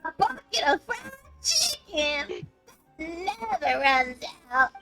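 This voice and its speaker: A woman's voice: very high voice, sing-song, slow pace, music in the background, voice pushed up, full character voice. A young woman putting on a strained, earnest child's voice as she reads out notes in a game. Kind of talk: playful character reading over gameplay.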